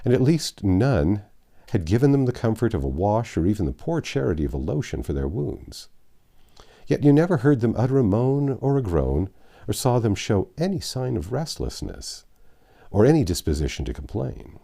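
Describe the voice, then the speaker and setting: A low-voiced man reads a story aloud as an audiobook narrator. His tone is mellow with plenty of gravel, and he lingers theatrically on phrases with dramatic pauses. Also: big pitch swings. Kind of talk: expressive storybook reading aloud.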